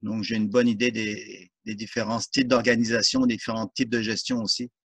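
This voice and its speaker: Referee, measured and orderly. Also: male voice, on the low side, flat delivery, creaky, a bit bright, husky, no echo. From France. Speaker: guest, soccer referee and volunteer. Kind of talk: interview answers.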